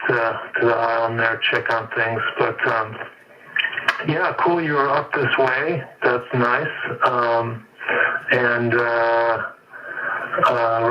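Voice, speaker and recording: A man chats casually over amateur radio in a muffled, distant transmission. His delivery is laid-back and halting, rambling along in a flat, even tone. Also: medium pitch. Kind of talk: casual ham radio chat. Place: indoors at his shop, over remote radio link.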